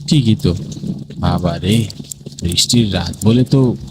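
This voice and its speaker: A man's voice: low-pitched, slow pace, pitched below the performer's own voice. A man voicing a polite, slightly hesitant male traveller in a horror cartoon. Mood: grumbling. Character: polite city-employed male traveller, uneasy on night journey. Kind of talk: Bengali horror cartoon voice acting. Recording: clearly noisy, ambient sound behind voice.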